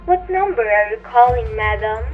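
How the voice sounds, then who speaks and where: A woman's voice: fairly high pitch, lively intonation, moderate pace, close to an everyday voice. A woman playing an impassive, formal telephone operator in a radio drama, speaking in detached set phrases. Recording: tinny phone-like line with music.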